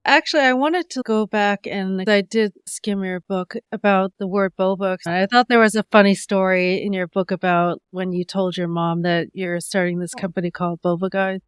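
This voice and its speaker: A woman's voice: mid-range voice, steady tone, moderate pace, few pauses, clean tone, no room sound, studio quality. A woman podcast host interviewing guests attentively, with brief affirmations and questions.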